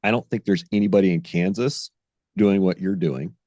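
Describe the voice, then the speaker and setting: A man's voice: deep voice, moderate pace, warm tone, dry recording, studio quality. A man hosting a ranching podcast, chatting casually with hesitant restarts. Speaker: podcast host. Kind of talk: interview conversation.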